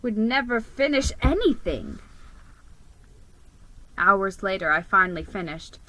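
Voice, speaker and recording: A woman's voice: mid-range voice, pushed and bright, poor audio. A woman giving a dramatic scripted reading of a children's story as its narrator. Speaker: storybook reader.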